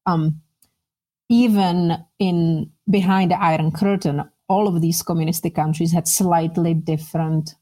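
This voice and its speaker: A middle-aged woman from the Czech Republic talks as an interview guest in a conversational, personal way. Her English carries a Czech accent. The voice sits slightly low, with a soft, dark, relaxed tone. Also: unhurried.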